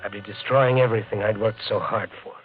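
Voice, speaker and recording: An actor playing a desperate, overwrought American resort owner in an old radio drama, shifting between brooding narration and urgent dialogue. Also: male voice, lowish voice, fairly even intonation, fast talker, natural voice. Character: desperate, overwrought island resort owner telling his story. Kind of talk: 1940s-50s radio drama acting and narration. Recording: dull old radio recording, music behind.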